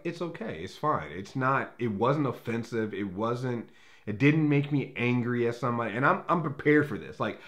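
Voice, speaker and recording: A man talking casually as an opinionated movie reviewer, blunt and rambling, often repeating words as he searches for phrasing. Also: medium pitch.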